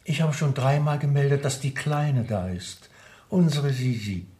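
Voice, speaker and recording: An actor playing an old, long-serving household servant in a radio play, grumbling and drily snobbish. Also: male voice, mid-range voice, lively intonation, unhurried, dark tone, close to an everyday voice. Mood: impatient, dry. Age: older. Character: grumbling, familiar old servant of forty-seven years. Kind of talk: 1970s radio play acting.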